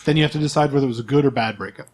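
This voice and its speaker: A man's voice: medium pitch, very fast, a little mellow. A man acting as game master in a casual tabletop session, prompting players with questions and repeating their answers back. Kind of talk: casual tabletop role-play session chat.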